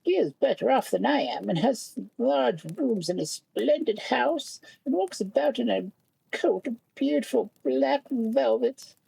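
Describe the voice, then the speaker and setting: A storyteller voicing a kindly, talkative old female field mouse in a thin, high, motherly voice. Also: vocal fry, warm tone, slightly rough, no echo, heavily acted, poor audio.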